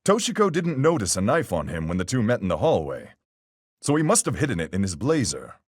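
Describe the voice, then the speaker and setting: A gruff, hearty sea captain from a mystery video game, played by a man, speaks in a very low register with wide swings in pitch. He is level-headed and folksy, peppering his measured deductions with seafaring slang. The voice is clean and smooth. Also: huge pitch swings, no room sound, clean studio sound, exaggerated voice. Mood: grave, matter-of-fact. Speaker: voice actor in a fan-made mystery game. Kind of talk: video game character voice lines.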